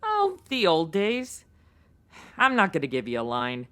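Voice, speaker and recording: An actress playing a brassy, world-weary 1950s bar hostess in a radio noir parody, slangy and familiar. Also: female voice, lowish voice, huge pitch swings, unhurried, a bit bright, heavily acted. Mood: weary, frank.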